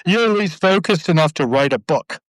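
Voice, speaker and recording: A man's voice: fairly high pitch, expressive, a little fast, dry recording. A middle-aged man hosting a casual live video chat, talking in long, rambling, digressive stretches. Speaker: host. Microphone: video call.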